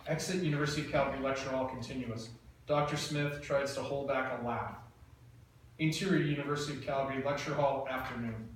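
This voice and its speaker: Brief: male narrator, flat direction reading, faint room noise. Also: medium pitch, brisk. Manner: plain, matter-of-fact. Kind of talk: reading screenplay stage directions. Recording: echoey room, mic far off, faint noise.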